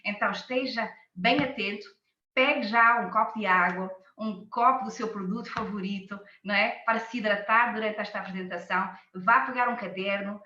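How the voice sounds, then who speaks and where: A woman's voice: medium pitch, fairly quick, sharp tone, echoey room, dull audio. A woman from France with a French-tinged Portuguese accent, shaped by years in Portugal, hosting a live health webinar with enthusiastic, repetitive emphasis. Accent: French-influenced Portuguese, shaped by years in Portugal. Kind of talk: live online presentation.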